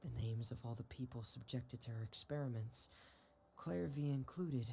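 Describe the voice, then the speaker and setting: An actor playing a shy, earnest young man in a video game, speaking softly and solemnly. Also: medium pitch, gravelly, a little hiss or hum, lightly acted. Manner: soft, measured, solemn storytelling. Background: game soundtrack music.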